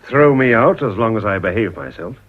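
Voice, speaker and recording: An actor with an English accent playing an earnest, ambitious Edwardian politician in a period radio drama. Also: male voice, fairly low pitch, very animated, a little fast. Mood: eager, confiding. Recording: dull, slightly muffled old radio recording.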